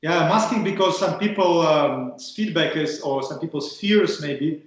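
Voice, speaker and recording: A man's voice: a little high, moderate pace, heavy reverb, poor audio. A man from Russia with a Russian accent, hosting an interview over a call, hesitant and repeating words as he searches for phrasing. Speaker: podcast host.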